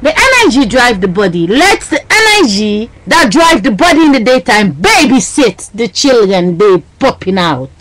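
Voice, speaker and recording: A woman's voice: on the high side, very animated, moderate pace, few pauses, a bit bright, low-quality recording. A woman talking into her webcam in a rambling, agitated monologue, repeating herself and using broken, non-native grammar. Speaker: webcam vlogger. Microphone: built-in webcam mic.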